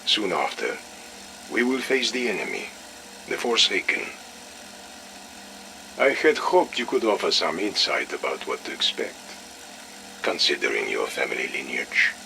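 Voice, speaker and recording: An actor playing a stern, menacing military colonel, clipped and commanding, in a video game audio log. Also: male voice, low-pitched, very animated, unhurried, creaky, pauses often, bright, forceful tone, slight background noise, heavily acted. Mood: measured, probing.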